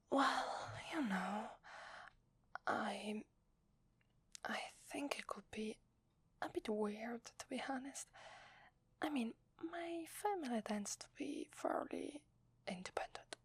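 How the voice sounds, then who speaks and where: Female actor for secretive, affectionate werewolf wife, ASMR roleplay; Italian accent, breathy and hesitant whispering, very dry close sound. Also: a little high, no echo, full character voice. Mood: nervous, evasive. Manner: tender, hesitant, intimate. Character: loving, secretive werewolf wife, tender tinkerer and sculptor.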